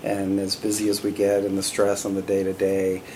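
A middle-aged man with a fairly low voice, chatting easily as an interview guest. He tells his stories loosely, with plenty of "uh" and "you know". Faint noise sits under his voice. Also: normal speed, low-quality recording. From California, US. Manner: relaxed and conversational, with frequent "uh" and "you know". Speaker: guest, company CEO. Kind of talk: casual interview storytelling.

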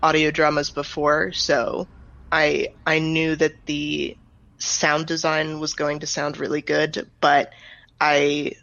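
Creaky-voiced woman in interview. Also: sits low, monotone, slow pace, fairly bright tone. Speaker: audio drama writer and director, guest. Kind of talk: conversational interview answers. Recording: slightly dull, band-limited close recording.

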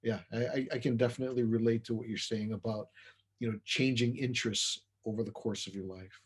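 Guy interviewer, raspy kinda breathy voice, casual and easygoing. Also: mid-range voice, fairly even intonation, a little fast. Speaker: interviewer, host.

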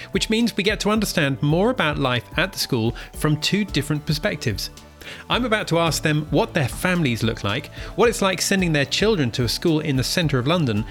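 Upbeat male podcast host, higher voice. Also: a bit bright. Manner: upbeat, encouraging. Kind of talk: scripted intro and interview.

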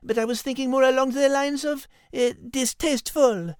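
A woman's voice: high voice, steady tone, moderate pace, a little mellow, clean tone, no room sound, studio quality, close to an everyday voice. A woman playing a refined, tactful, gracious French animal in a children's audio drama, with a French accent and dainty, polite hesitations.

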